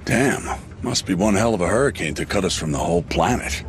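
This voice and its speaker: A man's voice: deep voice, expressive, brisk, a little hiss or hum. An actor playing a dismissive, impatient male administrator in charge of a sci-fi command deck in a video game. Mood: irritated. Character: gruff, brusque male corporate administrator in command.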